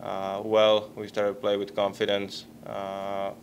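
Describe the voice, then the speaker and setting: Young czech guy at a press conference mic, halting, super flat monotone, slight hiss behind. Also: lowish voice. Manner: halting, searching for words, very flat delivery. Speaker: hockey player at a postgame press conference. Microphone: table microphone.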